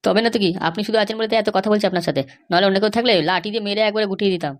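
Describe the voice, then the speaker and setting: A woman's voice: mid-range voice, fairly even intonation, racing, close to an everyday voice. A woman voicing a stubborn, bribe-seeking police officer in a comedy cartoon, insistent, lecturing and dismissive. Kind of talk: Bengali comedy cartoon dialogue.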